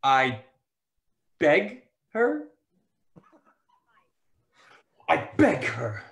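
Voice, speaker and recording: A man's voice: fairly high pitch, wildly swooping pitch, extremely slow, creaky, fairly bright tone, full character voice. A man playing an earnest, bewildered actor who narrates his own melodrama in a rambling, self-conscious stage-parody manner. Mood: pleading, tearful.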